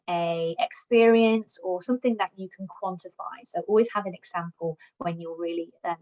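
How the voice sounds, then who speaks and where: A woman's voice: on the low side, a little mellow. A woman giving an encouraging job search presentation to migrants, speaking in a friendly advisory way. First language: English, also Welsh. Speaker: recruitment platform customer success manager giving a job search talk. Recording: dull lo-fi recording.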